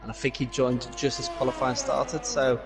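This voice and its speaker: A man's voice: mid-range voice, level tone, normal speed, gravelly, music in the background. A man with a Scouse accent sits in on commentary for an online racing league. He talks the listener through lap times, corners and qualifying rules in an analytical, explanatory way.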